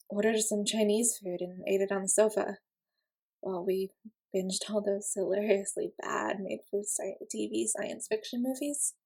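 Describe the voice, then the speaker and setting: A woman's voice: mid-range voice, vocal fry, husky. A woman playing an awkward, sarcastic young friend with secret feelings in a roleplay audio, speaking casually, hesitantly and with self-deprecating wit. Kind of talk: scripted intimate roleplay audio, casual confession.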